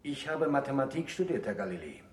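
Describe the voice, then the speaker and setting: An actor playing an earnest, troubled young monk in a German radio play, speaking formally and deliberately. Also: male voice, mid-range voice, very animated, lightly acted. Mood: earnest, restrained. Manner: formal, deliberate, rhetorical delivery. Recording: echoey room, old radio play.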